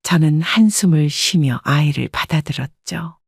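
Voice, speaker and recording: A woman narrating her own family story in the first person, calm and reflective. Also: sits low, normal speed, creaky, breathy edge, no echo, clean studio sound.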